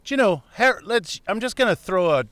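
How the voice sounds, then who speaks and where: Middle-aged male podcast guest, digressive casual talker, slightly high-pitched, clean smooth tone. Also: lively intonation, fairly quick, fairly bright tone, no echo. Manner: rambling, digressive. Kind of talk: casual chat.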